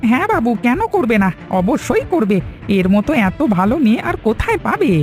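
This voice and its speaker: A man's voice: extremely high pitch, big pitch swings, moderate pace, soft background music. A man voicing a scheming, fawning middle-aged village informer in a cartoon story, with gossipy, exclamatory delivery. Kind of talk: acted cartoon story dialogue.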